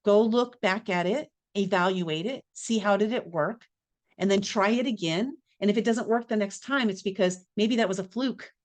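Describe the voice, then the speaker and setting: A woman hosting an online networking event, brisk, upbeat and chatty as she gives tips and runs the open mic. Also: mid-range voice. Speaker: event host. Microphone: video call.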